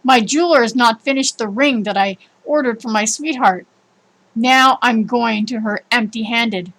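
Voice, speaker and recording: Woman reading as a gloomy young suitor, high-voiced. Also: normal speed, fairly bright tone, poor audio.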